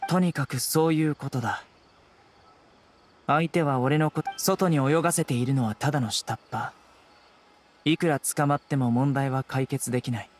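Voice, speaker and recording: A man's voice: mid-range voice, steady tone, normal speed, pauses often, faint music behind, lightly acted. A man playing a stern young law enforcer in a Japanese video game, composed, blunt and commanding. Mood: stern, cool.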